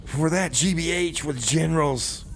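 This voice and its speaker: A man's voice: a little high, moderate pace, fairly bright tone, faint music behind. A man hosting a radio show, casually announcing songs and bantering in comedy bits.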